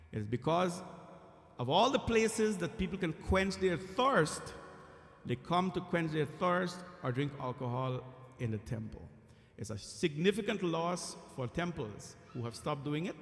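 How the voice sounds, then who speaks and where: A man from Guyana, a temple priest, giving an earnest, emphatic devotional sermon with frequent pauses. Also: fairly high pitch. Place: temple hall. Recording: echoey temple hall.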